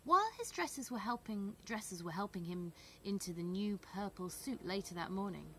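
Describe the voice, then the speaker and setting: A woman reading a children's story aloud expressively, with measured, dramatic phrasing and emphasis. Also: mid-range voice, animated, pushed and bright, slight background noise. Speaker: storybook reader.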